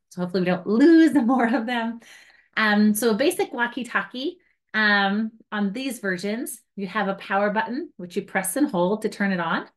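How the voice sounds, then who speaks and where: Female outdoor-guide trainer, mid-pitched, informal and chatty, talking through a practical demo step by step. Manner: casual, chatty, hands-on step-by-step explaining. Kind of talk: informal instructional demonstration.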